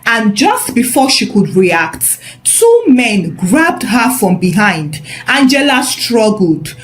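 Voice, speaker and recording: A woman narrating a scripted story in a measured, expressive, dramatic way. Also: a little high, rough recording.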